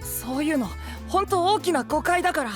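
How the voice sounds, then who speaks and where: A man's voice: extremely high pitch, lively intonation, moderate pace, faint music behind. An actor playing a cheeky, picky, boyish young man in an anime-style voice drama, frank and teasing. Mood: pouty.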